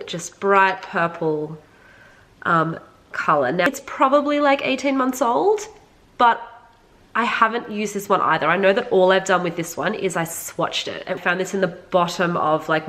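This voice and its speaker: Woman doing a chatty makeup vlog, bit low voice, stuffy nose like she's sick, says like a lot. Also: fairly bright tone. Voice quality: congested, slightly nasal. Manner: informal, frequent "like", addressing viewers directly. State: sick with a cold. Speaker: beauty vlogger reviewing makeup. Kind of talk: casual chatty makeup review to viewers.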